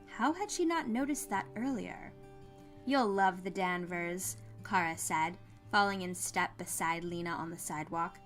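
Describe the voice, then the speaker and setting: A woman reading scripted fiction as an expressive audiobook narrator. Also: fairly low pitch, normal speed. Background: music.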